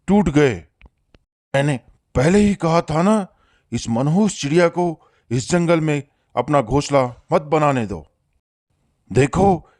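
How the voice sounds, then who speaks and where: A man's voice: a little high, a little mellow, dry recording, studio quality, lightly acted. Man voicing a domineering, threatening bully bird. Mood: accusing. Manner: domineering, accusing. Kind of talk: Hindi moral-story cartoon acting.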